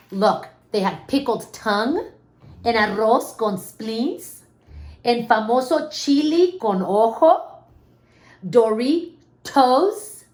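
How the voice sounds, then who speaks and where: A woman reading a children's story aloud expressively, with dramatic pauses and questions to her young listeners. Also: on the high side. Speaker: storybook reader. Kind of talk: animated children's read-aloud with asides to listeners. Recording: roomy, echoing room sound.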